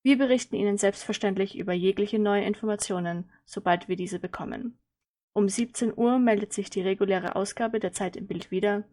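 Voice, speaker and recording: A composed female newsreader played by a woman with a medium-pitched voice. She delivers a formal, scripted television bulletin. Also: a little fast, mellow, close to an everyday voice.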